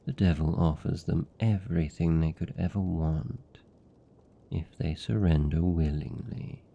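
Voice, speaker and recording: A man with a British accent playing a seductive, possessive vampire captor in an intimate ASMR roleplay, hushed and deliberate, tender yet menacing. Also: fairly even intonation, lo-fi sound, heavily acted. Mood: brooding, musing. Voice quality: gravelly, dark, low. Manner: slow, hushed, deliberate, teasing.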